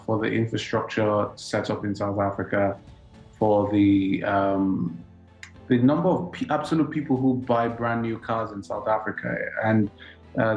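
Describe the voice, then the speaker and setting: A man speaking as a financial analyst, explaining economic effects in a measured way. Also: on the low side, darkish tone, reverberant, highs cut off. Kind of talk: studio interview answers.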